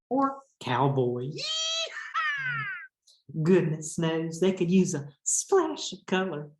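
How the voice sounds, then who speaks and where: A man's voice: on the high side, a little mellow. A man voicing an indignant, sassy female pink crayon from a picture book, with a pointed, huffy way of addressing a child. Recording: echoey room.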